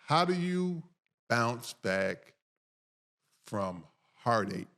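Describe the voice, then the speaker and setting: A man's voice: medium pitch, big pitch swings, drawn-out words, a bit bright. A middle-aged American man hosting a casual podcast interview, joking and teasing in a playful, preacherly way. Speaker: podcast host.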